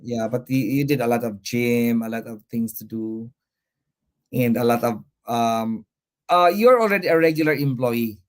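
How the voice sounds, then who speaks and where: A man's voice: medium pitch, moderate pace. A young man with a Filipino accent hosting a live online pageant interview, rambling and hesitant, switching between English and Tagalog. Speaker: host.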